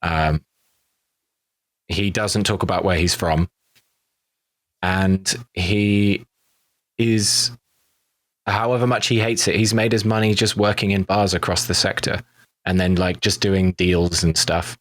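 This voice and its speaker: Male cast member, slightly deep voice, dry close recording, informal conversational delivery. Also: little pitch movement, slow pace, long pauses, no room sound. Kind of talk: casual chat.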